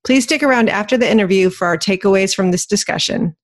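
A woman co-host reading a scripted introduction of the guest in a smooth, measured way. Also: medium pitch. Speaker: podcast co-host.

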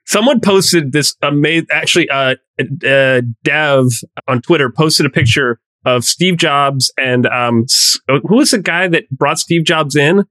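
A man's voice: on the high side, unhurried, few pauses, pushed and bright, no room sound, rough recording. A man guesting on a casual panel podcast as a startup founder, blunt and emphatic, swearing freely.

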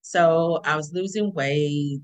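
A woman from Houston, Texas, a podcast guest calling in remotely, telling a personal story in an animated way and acting out what people said. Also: sits low, takes it slowly. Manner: animated storyteller, acts out quotes.

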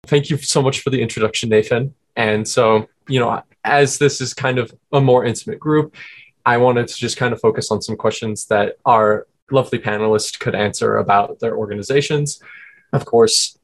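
A discussion moderator casually putting an opening question to a group of panelists. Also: medium pitch. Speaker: discussion moderator, student research fellow.

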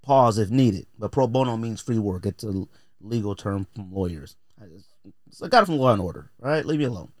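A man's voice: fairly low pitch, expressive, fairly quick, a little mellow, no echo. A man hosting a podcast in a casual, opinionated rant, emphatic and exasperated, repeating phrases to drive points home. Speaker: podcast host.

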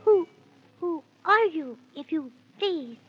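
Timid, well-mannered six-year-old boy, very high voice, slow delivery, vintage radio bedtime serial. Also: expressive, full character voice. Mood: awed, polite. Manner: timid, polite, breathy exclamations. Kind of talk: 1930s children's radio drama acting. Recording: dull 1930s radio recording, music behind.

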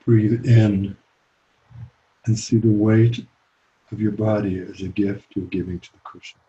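A man leading a guided meditation as a teacher, speaking calmly and gently with long pauses. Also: fairly low pitch, lo-fi sound, a little hiss or hum.

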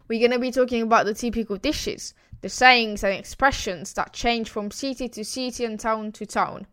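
A woman's voice: a little high, fairly bright tone. A young Italian woman with an Italian accent, hosting her podcast in a chatty, enthusiastic way and laughing between thoughts. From Italy. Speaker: podcast host. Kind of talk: casual podcast monologue. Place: home in Wales.

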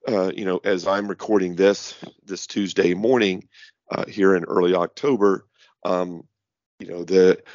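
A middle-aged man with a hoarse voice, hosting a podcast in a rambling way with many fillers and restarts. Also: deep voice, little pitch movement, unhurried, darkish tone, no room sound, lo-fi sound. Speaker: podcast host. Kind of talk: casual podcast monologue.

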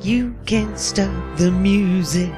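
A woman co-hosting a casual movie podcast, with a low, gruff voice, playful and laughing. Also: slow, over music. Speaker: podcast co-host. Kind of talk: casual movie-review banter.

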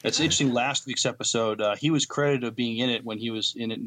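Fast-talking man on a noisy recording. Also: mid-range voice, fairly even intonation. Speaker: podcast co-host. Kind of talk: casual chat about TV shows. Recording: clear background noise with clicks.

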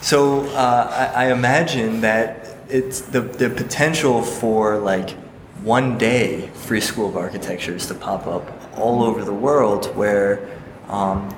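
A man, an architect, talking casually in a group discussion and rambling, with many fillers and restarts. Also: lowish voice. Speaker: architect, program organizer. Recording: echoey hall with loud room noise.

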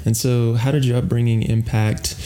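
A man hosting a podcast, introducing his guest and then interviewing her in a relaxed way, often murmuring agreement. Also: mid-range voice, moderate pace. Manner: relaxed, frequent affirming murmurs. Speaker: podcast host. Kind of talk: casual interview.

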